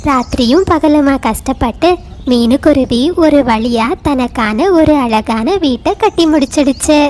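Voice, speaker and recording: A woman's voice: high voice, unhurried, a little mellow, a little hiss or hum. A woman narrating a scripted moral story for children.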